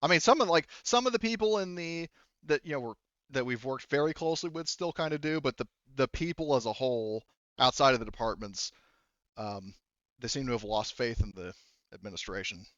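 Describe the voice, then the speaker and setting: Man, game master, improvised casual narration, halting and rambling, close dry sound, muffled lo-fi audio. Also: medium pitch, animated, fairly bright tone, dry recording.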